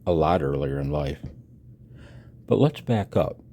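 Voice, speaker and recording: A man in his sixties giving a personal voice-over announcement, halting and reflective, with a husky low voice. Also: lively intonation, dark tone. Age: 60s. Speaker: amateur radio hobbyist and video narrator. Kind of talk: personal voice-over announcement, partly read from own text.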